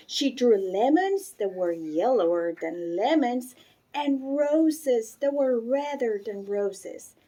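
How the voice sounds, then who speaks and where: A woman reading a children's story aloud with expressive narration. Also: on the high side, highs cut off. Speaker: storybook reader. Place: barn.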